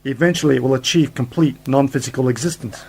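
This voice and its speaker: A man's voice: mid-range voice, fast, gravelly, a little mellow, slightly rough. A man acting a commanding, principled uncle and scientist hero in a science fiction radio play, explanatory and resolute. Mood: grave, explaining.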